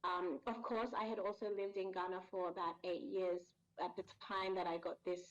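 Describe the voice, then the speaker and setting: A woman's voice: medium pitch, flat delivery, moderate pace. Thoughtful woman on a dull call line. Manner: thoughtful, hesitant, many fillers and restarts. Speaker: voice actress guest. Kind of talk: casual interview answers.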